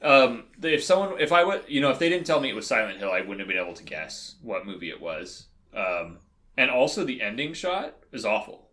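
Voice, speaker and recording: A man co-hosting a casual film critique chat, talking in a halting, rambling way. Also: on the low side, big pitch swings, pushed and bright. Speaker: podcast co-host.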